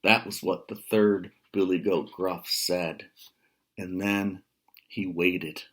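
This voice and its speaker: An American man in his fifties tells a children's story in a fairly low voice. He goes very slowly, pausing for casual, explanatory asides to his young listeners. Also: steady tone, fairly bright tone. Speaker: storyteller, writer. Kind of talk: children's picture book read-aloud with casual asides. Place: small room at home.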